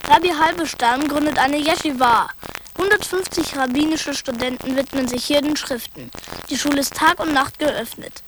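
A woman with a high voice reads out names and facts in an even, list-like way. Clear noise sits behind her. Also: normal speed. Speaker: narrator. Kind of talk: scripted documentary reading. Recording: noisy background ambience.

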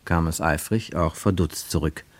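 A man narrating a radio play in a measured, literary storytelling manner. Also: extremely low pitch, dry recording.

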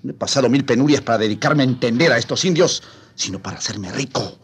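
An actor playing an arrogant, greedy Spanish conquistador in a radio drama, haughty and declamatory. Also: male voice, mid-range voice, fast, husky. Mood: scornful, boastful. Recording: radio play with prominent background music.

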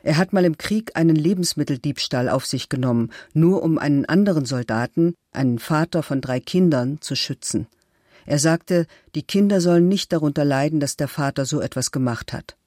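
Male actor as questioned man, emphatic loyal witness, documentary testimony, rather high voice, dry sound. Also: moderate pace, darkish tone, no room sound, natural voice. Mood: firm, loyal. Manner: emphatic, short declarative sentences.

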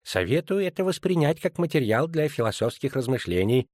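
Man voicing a sarcastic battlefield surgeon, low measured lecturing, dry close sound. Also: very animated, darkish tone, no room sound. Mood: dryly lecturing. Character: dry, sardonic male field surgeon.

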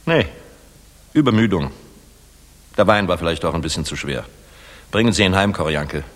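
An actor playing a dashing, world-weary middle-aged air force general in a 1960s German radio play, musing and commanding. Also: male voice, deep voice, animated, moderate pace. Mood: brisk, tired. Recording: reverberant 1960s mono radio recording.

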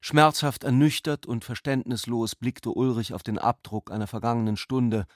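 A man reading literary narration for a radio drama in a measured, articulate manner. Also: fairly low pitch, dry recording, studio quality.

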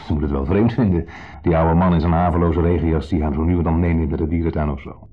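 An actor playing a shabby, self-pitying ex-husband who talks with deliberate, quiet menace in a radio drama. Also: male voice, very deep voice, fairly even intonation. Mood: smooth, insinuating. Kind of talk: 1970s radio drama acting. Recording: dull 1970s radio play recording.

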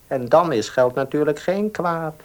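A man's voice: a little high, expressive, measured pace, a little mellow. A man playing a pious, calculating advice-giver in a Dutch radio play, sanctimonious and persuasive.

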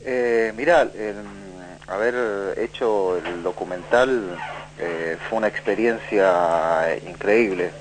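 A man's voice: on the low side, slow pace, slight background noise, rough recording. A man from Chile answering as a guest in a radio interview, searching for his words. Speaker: documentary director, interview guest.

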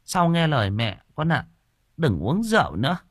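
A man's voice: sing-song, normal speed, husky, no echo, clean studio sound. A man voicing a worried, nagging old mother in a spoken horror story. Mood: scolding. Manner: shrill, nagging, high-pitched.

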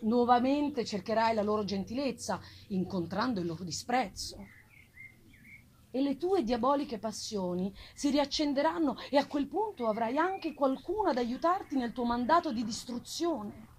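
A woman's voice: a little high, expressive, normal speed, slight background noise, natural voice. A woman voicing a tormented, defiant male scientist with solemn, emphatic delivery in a gothic novel reading. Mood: distrustful, firm. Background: live plucked guitar music.

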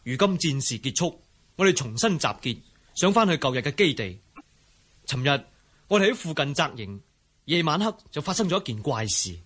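Hearty outlaw chief, Cantonese, lively husky voice, friendly radio drama storyteller. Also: male voice, on the high side, moderate pace. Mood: explanatory. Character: hearty, friendly male mountain-fort outlaw leader.

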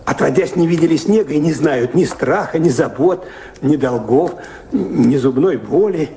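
An awed, servile manservant from a radio play. His voice sits a little high, and he rambles hesitantly with small fillers. The recording carries noticeable background noise. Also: lively intonation, moderate pace, mellow. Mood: wondering, excited. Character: deferential, talkative servant at a castle, naive and awed.

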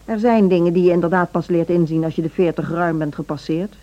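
A woman playing a cool, authoritative middle-aged music school director in a Dutch radio play, speaking in a measured, formal, pragmatic way. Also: on the low side, warm tone, muffled recording, close to an everyday voice. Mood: measured, admonishing.

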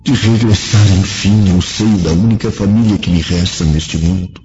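A man performing a brooding Roman nobleman in a radio play, full of remorse and self-doubt. His voice sits very low and speaks with theatrical earnestness. Faint music plays behind the slightly muffled recording. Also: fairly even intonation, moderate pace, close to an everyday voice. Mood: anguished, doubting. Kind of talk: radio drama acting. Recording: dull lo-fi audio with faint music.

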